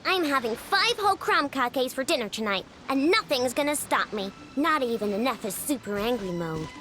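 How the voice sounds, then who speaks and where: A woman's voice: high voice, animated, moderate pace, pushed and bright. A woman voicing a playful, stubborn young girl in a video game, with a dramatic, self-indulgent delivery. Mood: defiant, excited. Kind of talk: animated video game voice acting.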